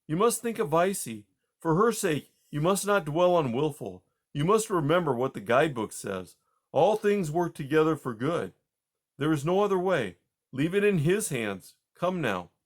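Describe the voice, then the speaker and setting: Man doing a calm patronizing husband. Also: fairly high pitch, pitched above the performer's own voice. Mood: soothing. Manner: soothing, measured, patronizing. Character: calm, firm husband consoling his wife.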